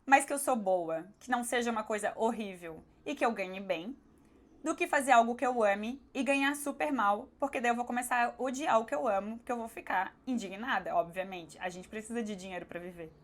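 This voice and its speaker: A hoarse woman with a Brazilian accent, talking candidly and conversationally as a YouTuber answering viewer questions. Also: a little high, brisk. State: hoarse, recovering her voice. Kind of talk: casual question-and-answer vlog.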